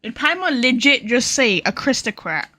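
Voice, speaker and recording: A woman's voice: fairly high pitch, big pitch swings, moderate pace, sharp tone. A woman giving casual, blunt and mildly sarcastic live commentary as she plays a game. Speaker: game streamer.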